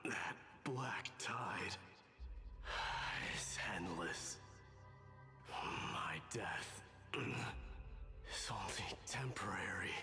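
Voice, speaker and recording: A man voicing a weary, undying warrior lord in a video game, solemn and halting, with a breathy hush. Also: sits low, in a whisper, faint music behind, heavily acted. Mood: grim, resolute. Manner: slow, solemn, halting delivery. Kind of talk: dramatic video game voice acting.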